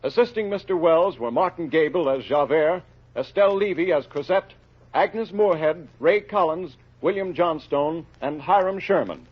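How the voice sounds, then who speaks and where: A man announcing formally in 1930s radio style, with a rough-edged, husky voice. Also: on the high side, normal speed. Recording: muffled old radio broadcast.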